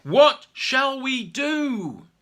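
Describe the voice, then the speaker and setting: A man's voice: medium pitch, very animated, a little fast, pushed and bright, husky, exaggerated voice. A man voicing a proud male orangutan clan leader who speaks in formal, rallying tones in a storybook. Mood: rousing.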